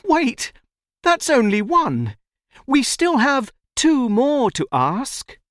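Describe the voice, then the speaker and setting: A woman's voice: a little high, wildly swooping pitch, takes it slowly, no room sound, studio quality, voice pushed up, full character voice. A woman voicing a frightened, friendly little boy in a storybook, protesting earnestly. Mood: urgent pleading.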